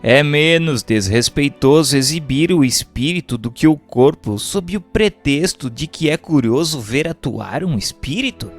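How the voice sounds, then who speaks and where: Guy reading an audiobook, formal and measured, kinda teachy, music in the background. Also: on the high side. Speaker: audiobook narrator. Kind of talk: scripted narration, reading a religious book aloud.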